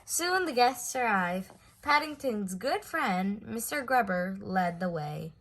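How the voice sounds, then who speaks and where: A woman reading a children's story aloud in an expressive way, stressing words for effect. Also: medium pitch, takes it slowly. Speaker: storybook reader.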